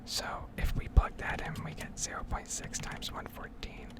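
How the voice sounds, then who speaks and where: A woman whispers throughout in a breathy, unvoiced tone. She talks as the host of an ASMR gaming video, rambling through explanations in an analytical way. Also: normal speed.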